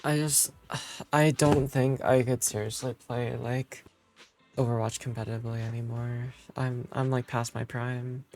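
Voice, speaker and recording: A man's voice: medium pitch, level tone, a little slow, darkish tone. A man live streaming a game and chatting casually with viewers in a hesitant, rambling way. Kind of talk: casual chat with viewers while gaming. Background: game music.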